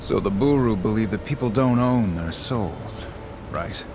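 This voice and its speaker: A brooding, weary warrior in a fantasy game. His low voice is slow, dry and terse over noticeable ambient noise. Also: expressive. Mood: pensive. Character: brooding, weary, reluctant wanderer warrior man. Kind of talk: fantasy game character acting.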